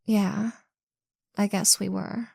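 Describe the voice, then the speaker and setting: A woman's voice: medium pitch, little pitch movement, takes it slowly, creaky, a little mellow, husky, dry recording, studio quality, heavily acted. A woman acting a smug, defensive teenage head cheerleader in a romantic audio roleplay, with a sassy, pushy manner. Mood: defensive, then sheepish.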